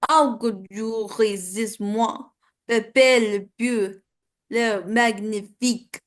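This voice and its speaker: A woman's voice: fairly high pitch, fairly even intonation, takes it slowly. A woman voicing a romantic male cartoon skunk with an imitated French accent, speaking in careful theatrical phrases. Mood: flirtatious.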